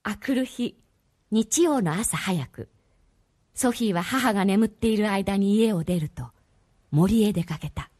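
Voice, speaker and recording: A woman narrates a radio drama with measured storytelling. Her voice is medium in pitch, mellow and husky.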